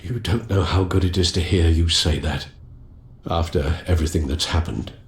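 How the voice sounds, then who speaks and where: An actor playing a loyal, gentle older butler in a video game, speaking in a measured, formal, fatherly way. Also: sits low. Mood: weary, tender. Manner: measured, formal, dryly courteous. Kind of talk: dramatic video game acting. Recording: roomy sound with loud music behind.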